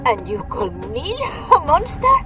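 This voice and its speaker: A woman's voice: a little high, wildly swooping pitch, unhurried, muffled recording, over music. A woman playing a taunting, condescending mercenary woman in a video game, with a teasing manner. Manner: teasing, condescending, peppers speech with endearments. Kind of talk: video game cutscene acting.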